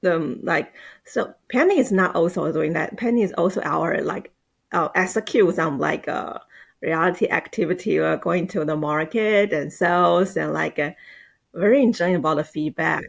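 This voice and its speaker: A woman, a Mandarin speaker, chatting on a podcast in halting, hesitant English. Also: fairly low pitch, creaky, slightly rough. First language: Mandarin Chinese. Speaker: podcast guest, team manager. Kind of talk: casual podcast conversation.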